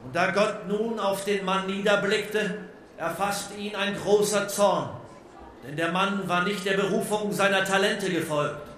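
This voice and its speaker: A man telling a biblical-style tale in a measured, solemn manner. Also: high voice, steady tone, a bit bright, roomy sound. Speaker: narrator. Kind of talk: solemn biblical-style narration in a radio play.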